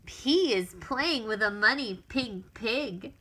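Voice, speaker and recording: Very animated, high-pitched woman reading a storybook. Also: takes it slowly, slight background noise. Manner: animated, exclamatory, sing-song storytelling for young children. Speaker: author reading own picture book. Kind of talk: expressive read-aloud of a children's storybook.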